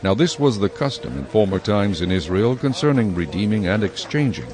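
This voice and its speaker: A man narrating scripture from a script in a measured, solemn manner. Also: lowish voice, animated, crowd noise.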